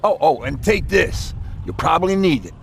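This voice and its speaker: An actor playing an anxious, earnest leader of a survivor group in a video game, chatty and urgent. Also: male voice, fairly high pitch, very animated, moderate pace, gravelly, slight background noise. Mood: earnest, brisk. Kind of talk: cinematic video game acting.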